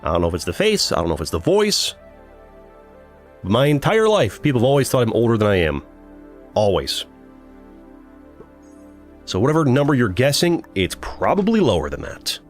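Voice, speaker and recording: A young man with a deep voice casually commenting on his strategy game live, thinking aloud and answering chat. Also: huge pitch swings, normal speed, long pauses, soft background music. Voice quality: deeper voice than usual. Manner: chatty, thinks aloud, reads chat and laughs often. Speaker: streamer.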